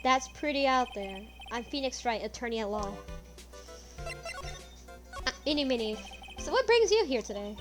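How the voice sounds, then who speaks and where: A woman's voice: a little high, expressive, normal speed, long pauses, lightly acted. A woman reading the lines of an earnest young male defense lawyer, polite and questioning. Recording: game music behind voice.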